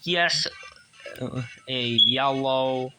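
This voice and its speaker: A man giving a casual hands-on toy review as a collector, enthusiastic but halting and repetitive. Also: medium pitch, loud music behind the voice.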